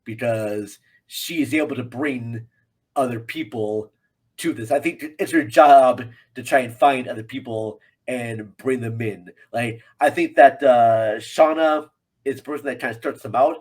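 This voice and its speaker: A man's voice: mid-range voice, a little slow. A man guesting on a film podcast, chatting casually in a rambling, joking manner. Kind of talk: casual chat about a film.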